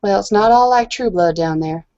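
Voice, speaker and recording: A woman's voice: medium pitch, normal speed, warm tone. A woman improvising in-character conversation as a player in a roleplaying game. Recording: dull, lo-fi online voice chat.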